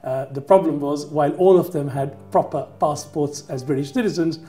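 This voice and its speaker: A man from Kenya giving careful interview testimony, often pausing on fillers. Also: a little high, warm tone, soft background music. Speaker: interviewee, former refugee.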